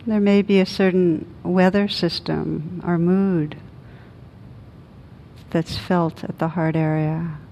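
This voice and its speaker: Slow, gentle woman guiding meditation. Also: fairly low pitch, steady tone, a little hiss or hum. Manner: gentle, soothing, unhurried with long pauses. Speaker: meditation teacher. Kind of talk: guided meditation.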